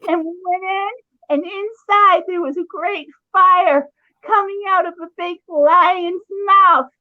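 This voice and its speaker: A woman's voice: very high voice, slow, dry recording, narrow, tinny audio. A woman improvising a silly fairy tale, telling it in long run-on sentences. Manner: dreamy, breathless run-on narration. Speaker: storyteller.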